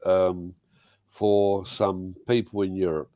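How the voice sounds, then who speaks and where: A man's voice: low voice, little pitch movement, slow pace, dark tone, no room sound. Blunt swearing older British man. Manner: blunt, swears casually, frequent restarts. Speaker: host. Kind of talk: casual live-stream chat.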